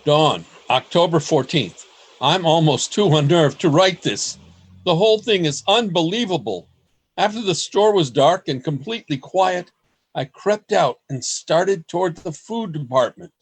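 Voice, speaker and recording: A man playing an anxious writer narrating his secret diary in a radio play, in a deliberate storytelling manner. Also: on the high side, animated, slightly rough. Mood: tense excitement.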